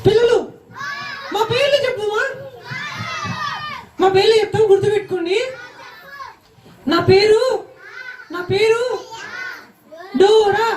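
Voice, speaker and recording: A very high, squeaky child puppet chatters cheekily to the children at a live puppet show. It teases and scolds them playfully. The voice rings around a noisy, echoing hall with a crowd behind it. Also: measured pace, long pauses. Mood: excited. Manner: teasing, scolding, calling out to the audience.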